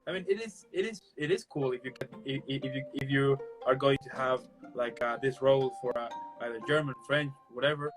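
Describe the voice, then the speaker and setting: Chatty Spanish-speaking host, music behind. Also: male voice, mid-range voice, a little fast, few pauses, rough recording. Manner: rambling, many restarts and "you know". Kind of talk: casual interview chat.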